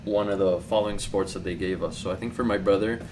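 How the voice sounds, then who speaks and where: Low-voiced young man, distant and noisy. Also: fast. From Colombia. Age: 20s. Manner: relaxed, chatty, with many fillers. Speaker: podcast guest. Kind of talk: casual conversation. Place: home studio room.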